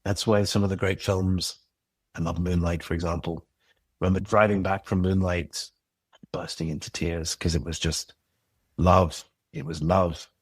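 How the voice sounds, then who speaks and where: A man's voice: sits low, fairly even intonation, normal speed, breathy edge, no echo. A man who creates and directs audio drama, speaking as a guest in a casual interview, earnest, reflective and passionate.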